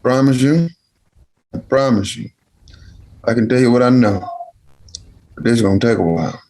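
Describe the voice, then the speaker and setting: A man's voice: mid-range voice, normal speed, dark tone, lightly acted. A man playing a cranky old uncle, grumbling and salty. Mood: grave, wary. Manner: grumbling, folksy, salty-tongued. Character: cranky elderly uncle, crude, stubborn Southern family elder. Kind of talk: acted table read.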